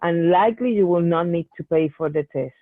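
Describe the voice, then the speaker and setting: A woman's voice: fairly low pitch, brisk, dry recording. Tinny-sounding woman geneticist explaining. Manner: explanatory, many restarts and fillers. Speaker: medical geneticist. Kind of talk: answering questions in an online Q&A. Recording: tinny phone-like call audio.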